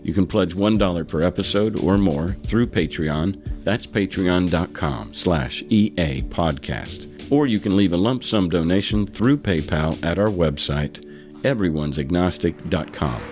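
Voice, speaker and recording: A man's voice: sits low, unhurried, darkish tone, soft background music. A man with an American accent reading a scripted podcast introduction as the host.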